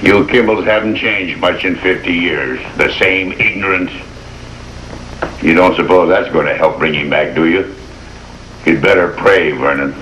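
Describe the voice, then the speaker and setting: An actor playing an older, gruff but kindly country doctor in a 1950s television drama, plain-spoken and wry. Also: male voice, sits low, measured pace, fairly bright tone, muffled recording. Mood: stern. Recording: noisy vintage 1950s television soundtrack.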